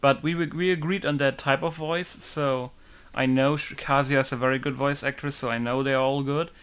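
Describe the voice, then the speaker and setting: A man's voice: mid-range voice, fairly even intonation, normal speed, fairly bright tone, clean tone. Rambling man thinking aloud in a tutorial. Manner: rambling, thinking aloud, leans on "basically" and "right?". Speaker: game modder walking through a tutorial. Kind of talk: casual screen-recorded tutorial.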